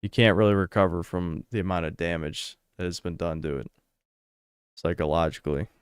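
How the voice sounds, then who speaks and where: This is a man in casual livestream chat, reading out donations and answering them bluntly and crudely. His voice sits on the low side and sounds smooth and resonant. The sound is dry and close, with no room echo. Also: steady tone, brisk.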